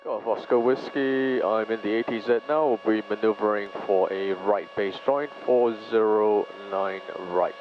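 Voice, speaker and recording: A man, a private pilot, making courteous, measured procedural radio calls on a headset in a small aircraft. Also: lowish voice. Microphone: aviation headset. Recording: muffled cockpit audio with engine noise.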